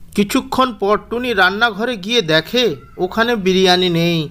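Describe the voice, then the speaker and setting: High-pitched, clear male story narrator. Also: normal speed, fairly bright tone.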